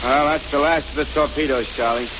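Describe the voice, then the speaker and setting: A man's voice: on the high side, fairly even intonation, fairly quick, lightly acted. An actor playing a jittery American ex-convict henchman in 1930s radio style, with slangy, hesitant, ungrammatical speech. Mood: uneasy, restless. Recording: muffled, hissy 1930s radio recording.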